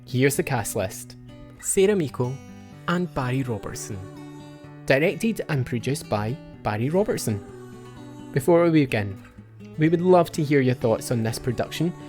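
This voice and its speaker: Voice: medium pitch, animated, normal speed, darkish tone. A podcast announcer reading scripted promotional narration. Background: guitar music.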